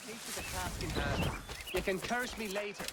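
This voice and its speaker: An actor playing a bossy, insistent taskmaster driving labourers on in a video game. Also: male voice, high-pitched, lively intonation, moderate pace. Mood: impatient. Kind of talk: video game background acting. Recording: loud outdoor ambience noise.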